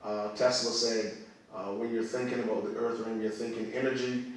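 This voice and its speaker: A man's voice: lowish voice, little pitch movement, moderate pace. A man who is a religious teacher, speaking as a guest in a conversation in an emphatic way, repeating phrases for effect. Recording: very echoey room, far from mic.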